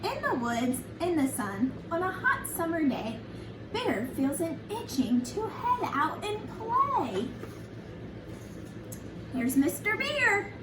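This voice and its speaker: A woman reading a picture book to young children like a preschool teacher, in an animated, sing-song, encouraging way with simple questions to her listeners. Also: extremely high pitch, slow. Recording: echoey room, distant mic, noisy background.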